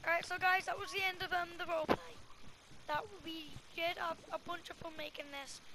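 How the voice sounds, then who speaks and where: A female gamer with an extremely high voice talks casually through a role-play game. The home recording is very noisy. Clicks sit behind the voice. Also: steady tone, brisk.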